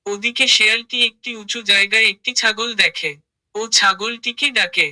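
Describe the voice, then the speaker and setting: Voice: medium pitch, normal speed, sharp tone. A narrator reading a scripted children's story.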